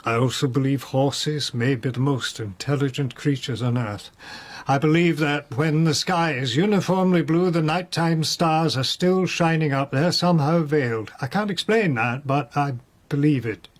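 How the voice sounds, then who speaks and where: An actor playing a whimsical, evasive older nobleman in a radio drama, musing with gentle irony. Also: a little high, normal speed, lightly acted. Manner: musing, playful, gently ironic. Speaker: suspect in a radio play. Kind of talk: British radio drama acting.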